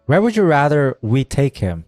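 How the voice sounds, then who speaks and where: A man's voice: mid-range voice, sing-song, moderate pace, a little mellow, dry recording. A man giving casual, quippy, joking gameplay commentary as a streamer. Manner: quippy, playful. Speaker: game streamer. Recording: dry mic, faint game music behind.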